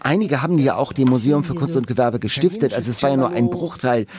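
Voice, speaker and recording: A man's voice: medium pitch, fast talker, over a noisy crowd. A man hosting a podcast, chatting casually and playfully with enthusiasm about a visit. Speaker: podcast host. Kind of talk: casual travelogue chat.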